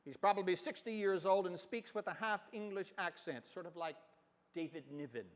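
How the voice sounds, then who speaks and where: A man giving an expressive literary reading on stage, with deliberate, dramatic phrasing and emphatic swells. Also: sits high. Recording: reverberant hall sound.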